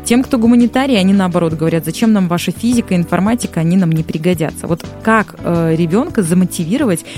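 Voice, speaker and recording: A woman's voice: fairly low pitch, quick pace, warm tone, soft background music. A woman host who talks conversationally and asks questions. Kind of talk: casual talk show conversation.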